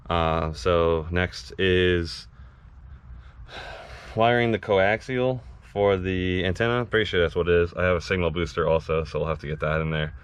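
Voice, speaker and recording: A man's voice: sits low, clear, resonant tone. A man casually chatting and narrating a van build for his vlog. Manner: relaxed, chatty, mutters while working. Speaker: vlog host. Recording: noisy background.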